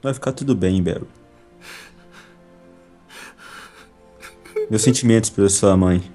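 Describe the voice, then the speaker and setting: A man playing a weary, patient but reproving policeman, speaking haltingly and repeating himself in an amateur acted drama. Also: fairly low pitch, warm tone, soft background music, natural voice. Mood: soothing, firm.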